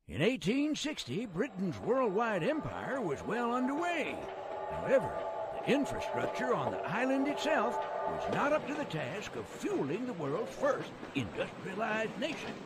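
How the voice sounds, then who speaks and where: An actor playing a jovial, folksy old-timer narrator from the American West in a game cutscene, with a colloquial, theatrical drawl and a gravelly voice. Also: male voice, high voice, background noise, full character voice. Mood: folksy, animated. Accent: American Southern or Western drawl.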